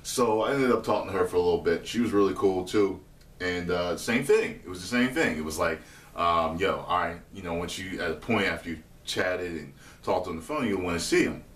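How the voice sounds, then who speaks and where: A low-voiced man in his thirties rants to camera in slangy, profane street talk, full of asides to his viewers. He sits a few feet from the camera in a small kitchen, so the voice sounds roomy and a little distant, with a faint hiss under it. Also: animated, fairly quick, fairly bright tone. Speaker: vlogger. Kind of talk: casual storytelling to camera. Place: small home kitchen, indoors. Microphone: camera a few feet away. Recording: roomy echo, voice a bit off-mic, faint hiss.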